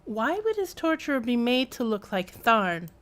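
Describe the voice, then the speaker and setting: A woman's voice: on the high side, big pitch swings, normal speed, a bit bright. A woman streaming a video game with casual, playful live commentary, reacting to what happens as she plays.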